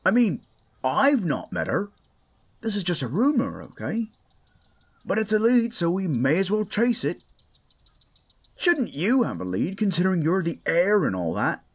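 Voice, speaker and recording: A blunt, restless young adventurer in a fantasy audio drama, acted by a woman. The voice is husky and leaps widely in pitch. The delivery is bantering and full of exclamations. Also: big pitch swings, unhurried, pauses often, exaggerated voice. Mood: exasperated. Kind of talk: audio roleplay acting.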